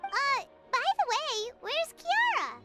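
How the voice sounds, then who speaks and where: A woman voicing a tiny, excitable, childlike fairy companion in a video game, with a squeaky tone. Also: takes it slowly, loud music behind the voice, exaggerated voice. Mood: curious.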